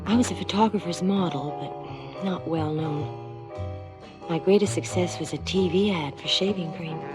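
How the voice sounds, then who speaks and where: An actress playing a crime boss's mistress, confiding her story in a measured radio drama monologue. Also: lowish voice, natural voice. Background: music.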